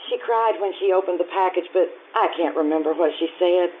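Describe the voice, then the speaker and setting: A woman's voice: fairly low pitch, fairly quick, a little hiss or hum, lightly acted. An actress playing a sentimental mother who tells her story earnestly in old-time radio drama style. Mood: fond, nostalgic. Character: sentimental mother fondly recalling a childhood Christmas. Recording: narrow-band stage radio show recording with music.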